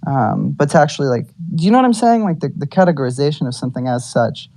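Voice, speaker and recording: A man in a casual online group chat, talking in a halting way with many "um"s and "you know"s. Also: on the high side, animated, gravelly, warm tone, dry recording. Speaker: participant. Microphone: online audio chat.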